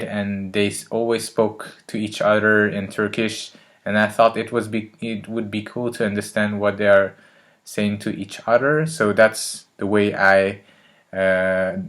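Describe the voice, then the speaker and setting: Hesitant man with a flat, low voice. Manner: hesitant, many fillers and restarts. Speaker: language learning vlogger. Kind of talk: casual talk to camera.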